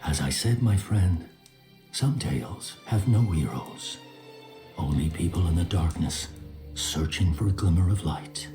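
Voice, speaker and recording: A grave storyteller with a low voice, recounting dark tales in a video game. His delivery is hushed, solemn and deliberate. Music plays clearly behind him. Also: lively intonation. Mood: wistful. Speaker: narrator. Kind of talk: scripted game story narration.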